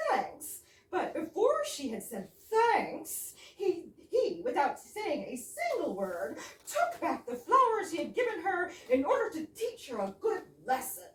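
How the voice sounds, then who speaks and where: A woman's voice: very high voice, full character voice. A woman in amateur readers' theater playing a gushing, genteel English wife in an absurdist comedy. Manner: gushing, exaggerated politeness. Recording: echoey room, distant mic, faint noise.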